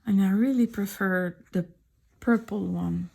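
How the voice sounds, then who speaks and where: A middle-aged woman with a French accent, speaking French as her first language, chats softly, often in a whisper, while showing her sketchbook drawings at home. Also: mid-range voice, a little slow, vocal fry. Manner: soft-spoken, often whispering. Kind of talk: casual chat.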